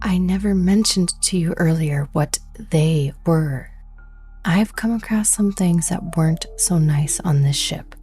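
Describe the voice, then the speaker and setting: An actress playing a wary, secretive, capable woman in a sci-fi horror audio drama, breathy and often close to a whisper. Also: on the low side, measured pace, warm tone, faint music behind. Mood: hushed, cautious.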